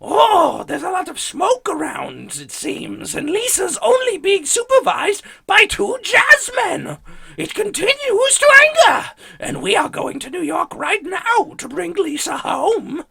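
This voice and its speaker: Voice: extremely high pitch, very animated, unhurried, gravelly, pushed and bright, pitched above the performer's own voice, heavily acted. A performer voicing an easily outraged, worried cartoon mother with a strained voice in a parody radio play. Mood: worried outrage.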